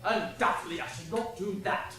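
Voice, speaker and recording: A man's voice: high voice, moderate pace, bright, forceful tone, soft background music. A man on stage playing a dutiful, formal ship's officer who answers like a careful witness. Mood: defensive. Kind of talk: stage play acting. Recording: very echoey stage, distant microphone.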